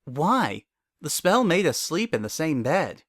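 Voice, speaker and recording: A man's voice: a little high, sing-song, a little slow, a bit bright, dry recording, clean studio sound, voice pushed up, exaggerated voice. A man voicing an anxious, bookish female unicorn in a dramatic story reading, weary and earnest. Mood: tired, matter-of-fact. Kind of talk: dramatic fan fiction reading.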